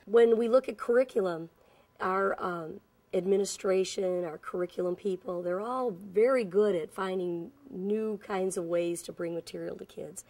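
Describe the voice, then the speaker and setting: A woman's voice: medium pitch, normal speed, darkish tone, no room sound. A woman who works in a school district, explaining things earnestly in a documentary interview. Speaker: school staff member.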